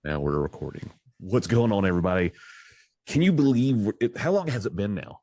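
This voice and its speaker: Male podcast host, very low voice, dry close sound, loose rambling delivery. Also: very animated, a little fast, creaky, warm tone, dry recording. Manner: rambling, restarts, upbeat hype. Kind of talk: casual video-call podcast chat.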